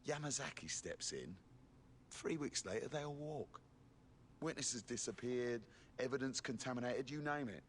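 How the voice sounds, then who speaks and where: A man's voice: mid-range voice, expressive, moderate pace, slight background noise, natural voice. Man playing an eager, emphatic gossip. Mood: eager, impressed. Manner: emphatic storytelling in clipped phrases. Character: male gossip, eager explainer of a scandalous trial. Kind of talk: video game character dialogue.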